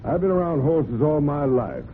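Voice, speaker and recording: A man's voice: on the high side, sing-song, moderate pace. An actor playing a genial, pushy horseman, folksy and insistent, in an old-time radio drama. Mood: genial, coaxing. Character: persistent, cocksure horseman, genial but pushy buyer. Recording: muffled, noisy old radio broadcast.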